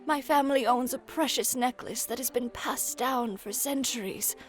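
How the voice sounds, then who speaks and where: A woman's voice: a little high, normal speed, faint music behind, lightly acted. A woman voicing an anxious, kindly elderly village woman who pleads earnestly for help in a fantasy tabletop game. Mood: pleading.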